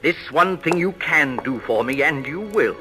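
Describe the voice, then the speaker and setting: A man's voice: on the high side, expressive, measured pace, music in the background. A man playing a proud, bitter middle-aged actor husband in an old-time radio thriller, speaking in a florid, declamatory way. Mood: bitter, resolute. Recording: vintage radio recording.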